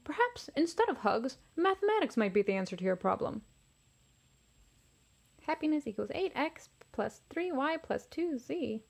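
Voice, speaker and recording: A woman's voice: high voice, sing-song, normal speed, creaky, exaggerated voice. Woman putting on a pedantic, scholarly dinosaur dad. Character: cerebral T. rex father giving mathematical advice.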